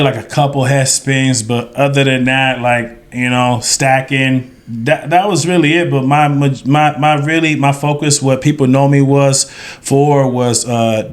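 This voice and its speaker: Rambling thirty-something man telling stories. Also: mid-range voice. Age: 30s. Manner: rambling, many fillers and restarts. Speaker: podcast guest. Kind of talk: casual storytelling.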